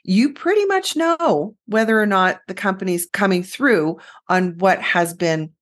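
A woman's voice: mid-range voice, expressive, a little slow. A woman co-hosting a podcast, giving direct, emphatic hiring advice with confidence. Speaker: podcast co-host, recruiting expert. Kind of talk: casual conversation about recruiting.

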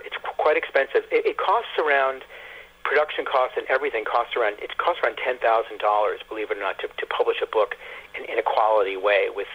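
A man with a husky, slightly rough voice talks as an interview guest over a dull, lo-fi phone-like line. His delivery is halting, circling back and repeating words while he works out his point. The pitch sits in an ordinary male range. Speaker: guest author and publisher. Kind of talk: radio interview conversation.

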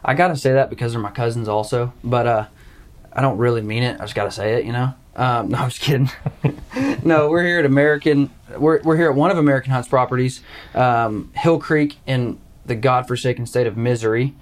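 A man's voice: mid-range voice, fairly quick. A young man from Arkansas hosting a hunting podcast in a casual, joking, rambling way. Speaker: podcast host. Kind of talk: casual chat.

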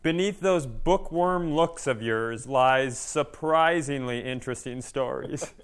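A man with a clear, smooth voice at a middle pitch, co-hosting a comedy podcast. He talks casually, swears freely and likes to needle the people he is talking with. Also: slow. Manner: profane, teasing. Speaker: podcast co-host.

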